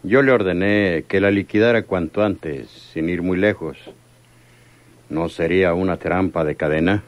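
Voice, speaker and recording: A man's voice: low voice, moderate pace, close to an everyday voice. An actor playing a cold, authoritative male crime boss in a radio drama. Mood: cold, suspicious.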